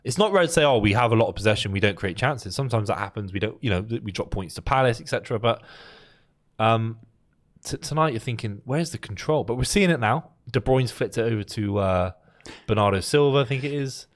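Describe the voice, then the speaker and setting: A man co-hosting a casual live football watchalong, animated and pleading. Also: medium pitch, a little fast. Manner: animated, pleading, gestures with his hands. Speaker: co-host, football fan.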